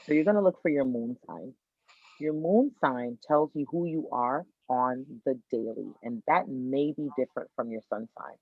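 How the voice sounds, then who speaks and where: A man from Miami, Florida, an astrologer guest on a podcast, explaining birth charts in an energetic, teacherly way and repeating his key points. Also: medium pitch, normal speed, dry recording. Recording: tinny dry phone-like line.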